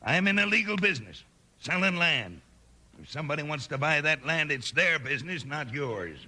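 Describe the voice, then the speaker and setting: Sharp, blustering Western villain. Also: male voice, fairly high pitch, big pitch swings, normal speed, heavily acted. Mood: irritated, menacing. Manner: blustering, menacing. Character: hot-tempered crooked land swindler in a Western. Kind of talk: 1950s radio drama acting.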